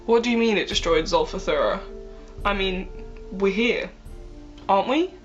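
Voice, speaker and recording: A woman's voice: medium pitch, expressive, normal speed, lightly acted. A woman acts a female sci-fi travelling companion in an audio drama. She is sassy, curious and sceptical, with a mid-range voice on a slightly dull recording over faint music.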